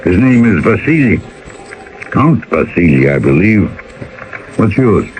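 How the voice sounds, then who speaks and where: Very low-voiced, world-wise boatman. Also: big pitch swings, a little mellow. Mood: grave, knowing. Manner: measured, deliberate. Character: world-wise Siberian river boatman, calm and knowing storyteller. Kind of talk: 1980s radio drama acting. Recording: slightly noisy old radio broadcast.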